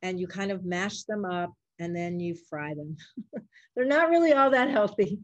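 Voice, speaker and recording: A woman's voice: on the low side, animated, normal speed, no room sound, muffled recording. An older woman answering interview questions casually, in simple encouraging words meant for children. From Puerto Rico or Puerto Rican family. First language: Spanish and English. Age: older, a grandmother. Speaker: interviewed doctor.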